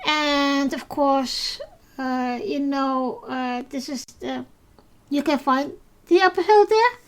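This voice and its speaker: A woman's voice: high-pitched, takes it slowly. A woman with a Dutch accent, a native Dutch speaker, hosting a solo podcast that recaps episodes, talking with many fillers and restarts. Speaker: podcast host.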